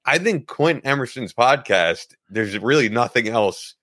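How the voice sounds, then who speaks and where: A man's voice: mid-range voice, lively intonation, a little slow, bright, forceful tone, no echo, studio quality. A man co-hosting a podcast in casual chat, talkative and repeating himself for emphasis. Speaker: podcast co-host.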